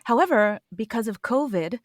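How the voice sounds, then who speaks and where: A woman voice actor answering interview questions in an earnest, animated way, laughing now and then. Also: fairly high pitch, moderate pace, no room sound, clean studio sound. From United States. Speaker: voice actor guest.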